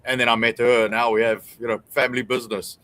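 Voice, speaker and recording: A man's voice: mid-range voice, level tone, fast talker, a bit bright. A man with a South African accent, chatting casually as a guest in an easygoing, good-humored way. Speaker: guest, small business owner. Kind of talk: casual chat.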